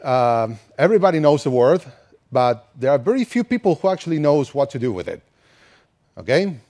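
A man's voice: mid-range voice, brisk. A man in his thirties from Argentina, with an Argentine Spanish accent, giving a conversational conference talk with frequent restarts. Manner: relaxed, conversational, with many restarts. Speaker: conference speaker. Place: conference hall, indoors.

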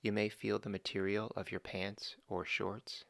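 Male meditation guide, low gravelly voice, dry close mic, slow calm instructions. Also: no echo, faint music behind. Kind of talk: guided body scan meditation.

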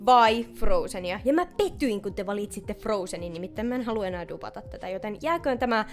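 A woman's voice: medium pitch, lively intonation. A young woman in her twenties chatting casually as a YouTuber who makes fan dubs. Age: 20s. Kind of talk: casual vlog chat. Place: home kitchen, indoors. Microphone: large studio mic close to the face.

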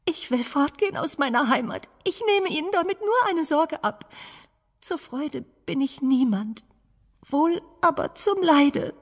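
High-voiced, sorrowful young princess. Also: female voice, lively intonation, a little slow. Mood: sorrowful, lonely. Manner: plaintive, earnest. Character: sorrowful, gentle young princess, lonely and self-doubting. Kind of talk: German fairy-tale audio drama. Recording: long hall reverb, faint music.

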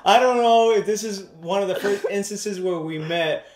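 High-voiced young male guest in an echoey room. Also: moderate pace. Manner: animated, laughing storyteller. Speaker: guest drummer. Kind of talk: casual chat, storytelling. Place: living room, seated on couch. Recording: echoey room, faint noise.

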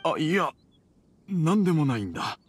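A man's voice: a little high, very animated, normal speed, breathy edge. An actor playing a stern, reluctant middle-aged father in a video game. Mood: stern, hesitant. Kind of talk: Japanese video game voice acting.

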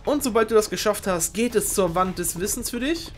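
A young man hosting a game show, giving informal, excitable live commentary on a video game race. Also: sits high, fairly quick. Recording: music behind the voice.

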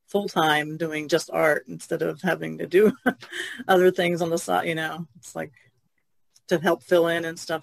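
A woman's voice: low-pitched, vocal fry, dry recording. A woman artist chatting informally on a podcast, tentative in how she puts things. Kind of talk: casual podcast chat.

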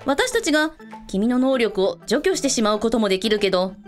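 Female hotel boss, radio drama, acted by a woman, highish animated delivery, confident, caring, faint music behind. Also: fairly quick. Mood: reassuring. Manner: casually authoritative, blunt with staff. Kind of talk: scripted radio drama acting.

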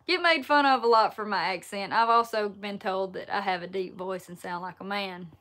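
A woman in her twenties with a Southern Alabama accent, chatting casually on a livestream and answering viewer questions in a relaxed, folksy way from a wood-paneled room. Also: mid-range voice, normal speed. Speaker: outdoors YouTuber answering live chat. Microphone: laptop or phone camera at arm's length.

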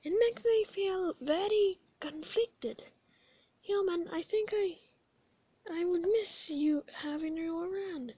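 A woman voicing an excitable, earnest young male skeleton in a comic dub, with dramatic, emphatic delivery. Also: very high voice, slow, no echo, dull audio. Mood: fond, wistful.

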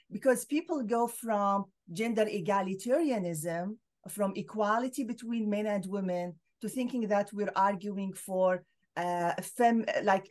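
A middle-aged woman from Syria speaks on a video call from a room at home, laying out her points earnestly and carefully. Her pitch sits in the middle range, and her talk is dotted with small fillers and restarts. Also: a little mellow. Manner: earnest, explanatory, frequent fillers. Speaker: guest scholar.

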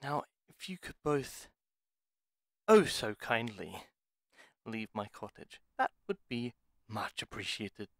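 A man's voice: mid-range voice, sing-song, slow pace, vocal fry, pauses often, a bit bright, no room sound, heavily acted. A man playing a bitter, curt pharmacist healer in a fantasy audio roleplay, speaking in stern, formal phrases. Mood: irritated.

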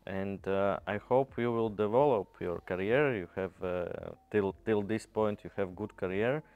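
A man's voice: on the low side, normal speed, narrow, tinny audio. A man in his thirties from Latvia, a native Latvian speaker, hosting an interview in hesitant, filler-heavy English. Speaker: podcast host. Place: dark studio set with red armchairs.

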